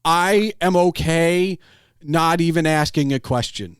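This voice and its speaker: A man's voice: fairly high pitch, slow pace, smooth, no echo, clean studio sound. A middle-aged man in casual podcast conversation, rambling and digressive, often breaking off his clauses to start another. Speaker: podcast host.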